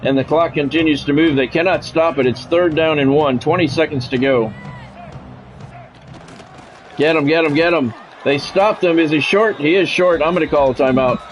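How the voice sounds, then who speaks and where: A man with a somewhat high voice calls a football video game as he plays it for a stream. He slips between play-by-play and offhand chat with viewers, cursing casually. Faint music plays behind him. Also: normal speed. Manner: salty, swears casually, addresses viewers mid-call.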